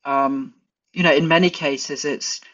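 A woman's voice: deep voice, steady tone, measured pace. Thoughtful English woman, dull lo-fi sound. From England. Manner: thoughtful, many fillers and restarts. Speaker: guest forager. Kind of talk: casual interview chat.

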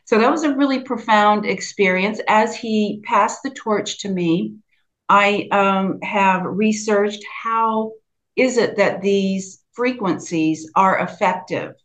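A middle-aged woman from the United States with a soft Southern US accent, answering interview questions in a slow, measured way with frequent fillers. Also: medium pitch, rough recording. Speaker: guest, sound healing practitioner.

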